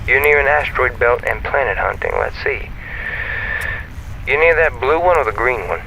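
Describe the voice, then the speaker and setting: A man's voice: mid-range voice, expressive, normal speed, creaky, dull audio, noisy background. An actor playing a friendly, chatty, self-assured male space comms operator in a sci-fi audio drama, with a folksy, easygoing and playful manner over a radio link. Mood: urgent, concerned.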